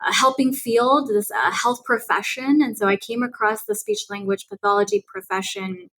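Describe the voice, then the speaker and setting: A woman who grew up speaking Cantonese, answering as an interview guest in an earnest, steady way, with frequent ums and restarts. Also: medium pitch, moderate pace, rough recording. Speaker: guest researcher.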